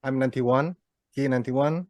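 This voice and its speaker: A man's voice: medium pitch, moderate pace, no room sound. A man in his thirties with a Malaysian accent, chatting casually as an interview guest. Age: 30s. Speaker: guitarist in a band, interview guest. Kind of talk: casual chat.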